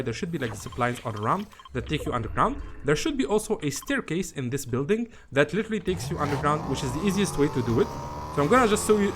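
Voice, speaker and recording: A man's voice: a little high, fairly bright tone, slight background noise. A man giving a casual, rambling game walkthrough, often repeating himself in a conversational way. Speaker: gaming video host.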